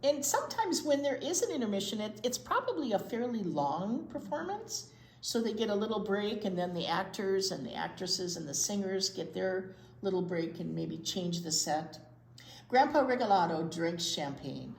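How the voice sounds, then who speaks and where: An American woman reading aloud at a storytime for young children, expressive, with drawn-out words and chatty asides. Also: mid-range voice, creaky. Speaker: children's librarian and storytime reader. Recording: roomy echo, faint background noise.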